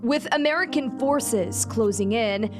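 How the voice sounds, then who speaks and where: Slow, solemn woman narrating over music. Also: fairly high pitch. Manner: solemn, dramatic measured delivery. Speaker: news reporter narrating a feature. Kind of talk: scripted narration. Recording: music bed under voice-over. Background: instrumental music.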